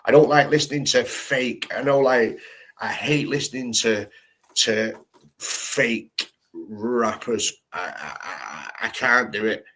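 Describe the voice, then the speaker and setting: A man's voice: medium pitch, slow, fairly bright tone. A man hosting a live stream, chatting casually in slangy, rambling talk full of restarts.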